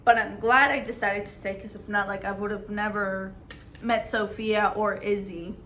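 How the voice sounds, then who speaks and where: A woman's voice: mid-range voice, fairly even intonation, moderate pace, a bit bright, a little hiss or hum. Young woman rambling through a story. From Mexico. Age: young adult. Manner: rambling, filler-heavy storytelling. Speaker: podcast host. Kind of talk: casual chat.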